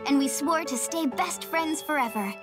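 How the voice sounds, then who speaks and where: A woman's voice: sits high, normal speed, natural voice. A woman voicing a proud, easily hurt young girl in a children's cartoon dub, with a dramatic, self-assured way of telling things, over music. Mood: wistful storytelling. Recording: music behind the voice.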